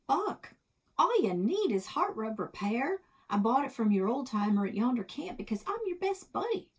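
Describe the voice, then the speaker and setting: Woman playing a feisty, husky Old West gunslinger gal. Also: on the high side, very animated, moderate pace, pitched above the performer's own voice, exaggerated voice. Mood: bold, excited. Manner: folksy cowboy talk, dramatic. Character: feisty young female Old West gunslinger gold panner.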